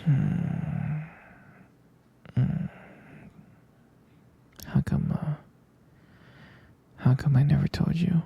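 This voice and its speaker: A man playing a gentle, reassuring young vampire boyfriend in an intimate sleep-aid ASMR roleplay, murmuring tenderly and hesitantly in a breathy, husky whisper. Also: a little high, steady tone, drawn-out words, pauses often, lo-fi sound, full character voice.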